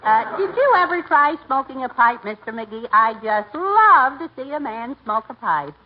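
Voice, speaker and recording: High, lilting, scatterbrained flirty maid. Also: female voice, big pitch swings, moderate pace, lo-fi sound. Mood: earnest, sweet. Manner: naive, chatty, addresses others as honey. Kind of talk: 1940s radio comedy acting. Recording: noisy, band-limited 1940s radio recording.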